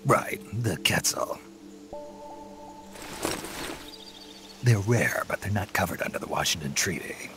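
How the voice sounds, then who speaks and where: An actor playing a gruff, guarded soldier in a video game cutscene. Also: male voice, deep voice, animated, moderate pace, pushed and bright, slight background noise. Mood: guarded, casual. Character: gruff, guarded soldier man posing as a birdwatcher. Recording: music behind the voice.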